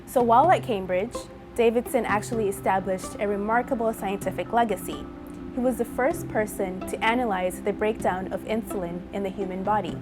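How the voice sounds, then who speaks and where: A young woman from Zambia, a PhD researcher, giving a measured, clear scripted explanation of her field. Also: medium pitch. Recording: music bed underneath.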